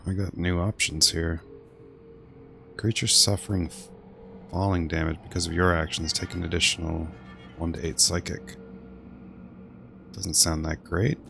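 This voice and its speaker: Deep-voiced man streaming a game. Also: steady tone, a little slow, creaky, long pauses, pushed and bright, soft background music. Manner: dry, blunt, thinking aloud. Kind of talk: casual gameplay commentary, reading menus aloud.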